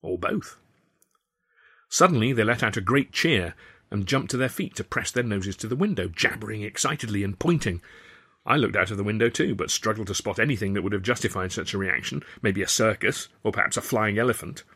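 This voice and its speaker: Husky low-voiced male narrator. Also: brisk. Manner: wry, lively storytelling. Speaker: author and narrator. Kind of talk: audiobook narration, first person.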